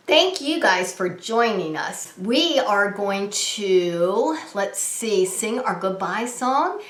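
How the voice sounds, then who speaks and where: A woman hosting a preschool story time, talking to young children in a gentle, sing-song, encouraging way. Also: medium pitch. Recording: roomy, echoing indoor sound.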